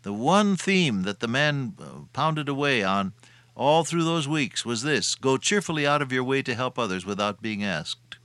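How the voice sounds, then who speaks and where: A man's voice: mid-range voice, expressive, normal speed, fairly bright tone, clear, resonant tone, no room sound. An older man preaching a sermon in a folksy, homespun way. He tells everyday anecdotes and chuckles at his own stories.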